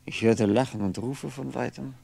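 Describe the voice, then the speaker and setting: Lonely, gravelly-voiced brooding man. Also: medium pitch, steady tone, darkish tone. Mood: reflective, absorbed. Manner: halting, introspective, self-correcting, deliberate articulation. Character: lonely, brooding man musing aloud over faded memories. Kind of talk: 1960s German radio play monologue.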